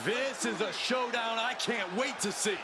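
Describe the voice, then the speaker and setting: An actor playing a punchy, dramatic wrestling commentator calling the action in a video game. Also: male voice, very high voice, unhurried. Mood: excited. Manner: dramatic, declarative. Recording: very loud arena background noise.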